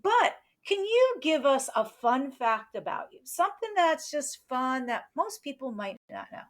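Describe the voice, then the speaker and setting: A woman's voice: high-pitched, sing-song, a little slow. A woman hosting a chatty live interview show, with an exuberant, cheering manner. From New York, United States. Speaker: show host.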